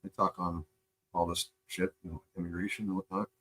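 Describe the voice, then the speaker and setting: This is a lower-pitched man from Canada who chats casually on a live stream. He swears freely and rambles through fillers and restarts. He sounds high and unhurried about where his thoughts go. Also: flat delivery, bright, forceful tone. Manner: loose, profane, rambling with fillers. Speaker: live streamer reacting to videos.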